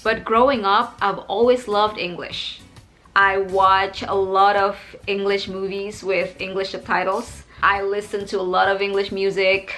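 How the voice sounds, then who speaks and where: A young woman with an Indonesian accent talks openly to her viewers in English, her second language. Her voice is bright and her pitch sits at a middle height. Soft music plays faintly behind her. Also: flat delivery, unhurried. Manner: open, reflective, chatty. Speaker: English teacher vlogger. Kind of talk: casual talk to camera.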